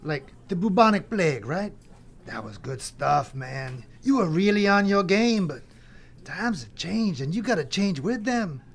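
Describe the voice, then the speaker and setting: A man's voice: sits high, big pitch swings, a little slow, creaky, exaggerated voice. A man playing Death as a brash, glib, freeloading cousin who rambles in bro talk in an audio comedy drama. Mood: glib, animated.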